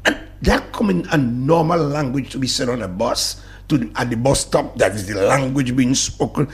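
A man with a Dominican accent, a guest on a talk-radio show, speaking in emphatic, animated bursts that drop into low mutters. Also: normal speed. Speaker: studio guest. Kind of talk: passionate talk-radio discussion.

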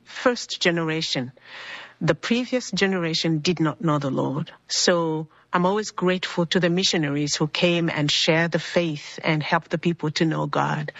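A woman's voice: on the low side, dry recording, dull audio. A woman from the Republic of Congo telling her faith testimony earnestly, acting out the words of the people in her story. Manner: earnest storytelling, acts out quoted dialogue.

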